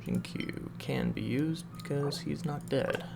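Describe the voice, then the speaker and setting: Male streamer for casual gameplay commentary, unhurried and creaky, musing aloud over game music. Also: a little high. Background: loud game music.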